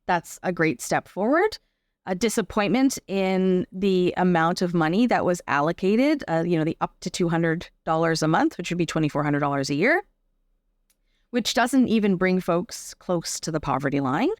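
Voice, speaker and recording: A woman's voice: lowish voice, lively intonation, normal speed, no room sound, studio quality. A Canadian woman giving reflective, explanatory answers as a guest in a conversational interview. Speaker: interview guest, performer and advocate.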